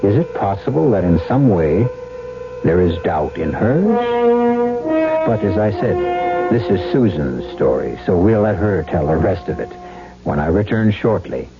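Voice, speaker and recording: An American man hosting a 1970s radio drama with deliberate, theatrical scripted narration. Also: low voice, sing-song. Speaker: host and narrator. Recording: dull old radio recording, music behind.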